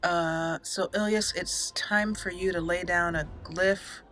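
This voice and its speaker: A woman's voice: on the low side, fairly even intonation, takes it slowly, fairly bright tone. A woman hosting a gaming channel, giving casual, chatty let's play commentary as she talks aloud to the characters.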